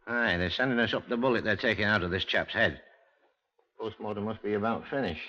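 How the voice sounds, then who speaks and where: Dutiful British police officer, 1950s radio play, slightly low, tense-edged voice, colloquial, lo-fi sound. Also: male voice, fairly quick, sharp tone. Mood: businesslike. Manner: colloquial, down-to-earth. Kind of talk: 1950s radio drama acting. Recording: dull, lo-fi 1950s radio recording.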